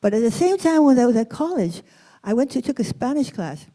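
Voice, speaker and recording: A woman's voice: fairly high pitch, very animated, brisk, dark tone. A woman storyteller from East Los Angeles, with an accent from there, telling a personal story expressively, weaving in Spanish words and translating them.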